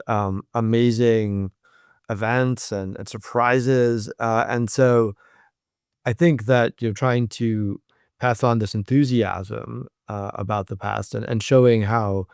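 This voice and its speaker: A man's voice: mid-range voice, steady tone, slow pace, darkish tone, clean tone, no echo, studio quality. A man who is a professor, answering interview questions thoughtfully, with frequent ums and restarts. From United States.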